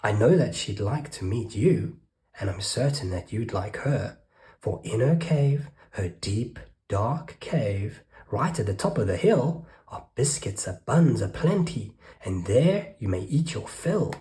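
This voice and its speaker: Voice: mid-range voice, animated, a little slow, mellow, lightly acted. A storyteller voicing a plucky, clever young female duck who speaks politely in a children's storybook. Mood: coaxing. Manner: chirpy, polite. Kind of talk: storybook read-aloud.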